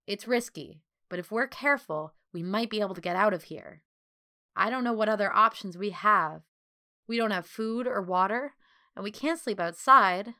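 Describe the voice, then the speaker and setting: A woman voicing an earnest, worried young boy who explains things carefully and a little haltingly. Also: mid-range voice, pushed and bright, natural voice.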